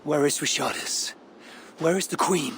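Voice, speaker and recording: Stern, blunt Viking warrior. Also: male voice, on the high side, normal speed, gravelly, fairly bright tone, slight background noise. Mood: urgent, stern. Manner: blunt, restrained. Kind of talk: dramatic video game voice acting.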